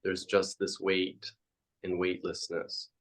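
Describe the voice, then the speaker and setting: A man leading a guided meditation as a teacher, speaking in halting, reflective phrases with frequent pauses. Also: low-pitched, monotone.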